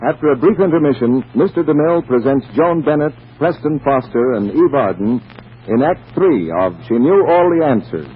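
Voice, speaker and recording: A man's voice: on the high side, lively intonation, moderate pace, a little hiss or hum. A man with an American accent announcing earnestly and emphatically, reading a scripted 1940s radio appeal. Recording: tinny, thin 1940s radio recording.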